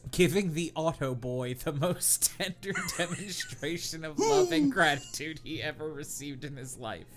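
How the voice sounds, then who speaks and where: A man's voice: fairly high pitch, very animated, a little slow, soft background music. Man reading aloud with mock earnestness. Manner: reads with mock earnestness, breaks into laughter. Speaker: podcast host reading fanfiction aloud. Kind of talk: comedic dramatic reading with sarcastic asides.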